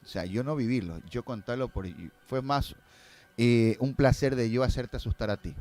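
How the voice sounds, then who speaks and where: A man's voice: medium pitch, moderate pace, a little mellow. A man speaking as a filmmaker guest in a casual interview, explaining and telling anecdotes, often tagging phrases with a questioning "no?".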